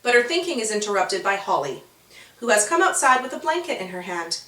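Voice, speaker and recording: A woman narrating a children's story expressively, with dramatic, drawn-out emphasis on key words. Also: medium pitch, very animated, bright, forceful tone, echoey room, distant mic.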